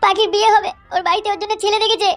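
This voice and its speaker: Voice actor doing a heartbroken cartoon guy, really high squeaky voice, whiny and sweary, rattles words out fast. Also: flat delivery, fairly bright tone, soft background music. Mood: pleading. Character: lovesick young man, tearful then drunk and angry. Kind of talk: cartoon voice acting.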